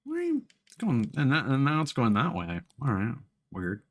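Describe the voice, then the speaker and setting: A man giving casual live gameplay commentary as a streamer, often thinking out loud in a halting way. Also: mid-range voice, wildly swooping pitch, gravelly. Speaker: game streamer.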